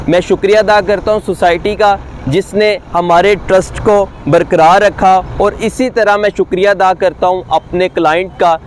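High-voiced guy w/ a pakistani urdu accent doing an upbeat property pitch outside, faint traffic behind. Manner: upbeat sales pitch, speaks straight to viewers. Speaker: real estate agent. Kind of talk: on-site property promo vlog. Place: outdoors at a construction site by a busy road. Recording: faint outdoor traffic noise.

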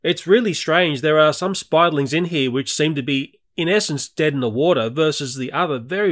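A man's voice: a little high, a bit bright. A middle-aged Australian man narrating a nature video in a chatty, candid voice-over.

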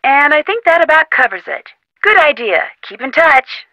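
A woman's voice: high-pitched, big pitch swings, moderate pace, sharp tone, no room sound, heavily acted, low-quality recording. An actress playing a plucky young female amateur detective in an adventure game, talking in an encouraging, insistent, conversational way. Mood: brisk, businesslike. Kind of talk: scripted adventure game dialogue.

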